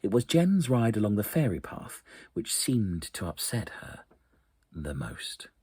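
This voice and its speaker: Very deep, husky male storyteller. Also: sing-song, dry recording, studio quality. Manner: hushed, suspenseful, deliberate phrasing with dramatic pauses. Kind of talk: dramatic narration of an eerie short story.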